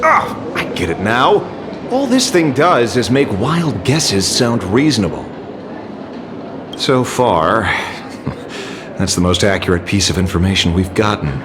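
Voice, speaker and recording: A man's voice: on the low side, sing-song, slow pace, pauses often, background noise. A man voicing a wry, self-assured tough-guy detective in a video game, blunt and knowing. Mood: wry, amused. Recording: music behind the voice.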